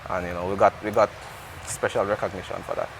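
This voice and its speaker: A man's voice: on the low side, fairly even intonation, quick pace, a little hiss or hum, rough recording. A Jamaican man speaking as an interview guest in casual, conversational talk, often restarting his sentences. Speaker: interview guest, air traffic controller.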